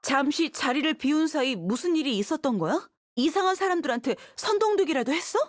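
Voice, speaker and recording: A woman's voice: high voice, expressive, fairly quick, no room sound. An actress voicing a confident, seasoned young mercenary swordswoman in a video game, frank and self-assured. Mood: reasoning.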